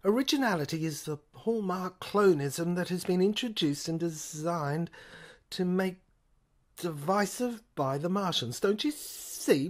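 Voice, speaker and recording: A woman's voice: fairly low pitch, a little mellow, voice pushed up. Casting: woman playing scheming alien detective, male, pompous and declamatory, for sci-fi audio drama. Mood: insistent. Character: sinister, sarcastic male chief detective, scheming alien invader. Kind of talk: audio play acting.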